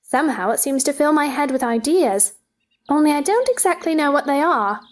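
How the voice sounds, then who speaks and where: A woman's voice: high-pitched, lively intonation, fairly quick. A woman playing a curious, polite young girl, speaking with earnest wonder in a theatrical storybook dramatization.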